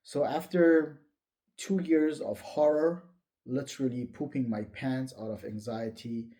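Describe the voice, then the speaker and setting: Soft, mellow man telling his story. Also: mid-range voice, steady tone, unhurried. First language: not English. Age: late 40s. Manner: hesitant, candid, reflective. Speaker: vlogger. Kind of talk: personal life story told to camera.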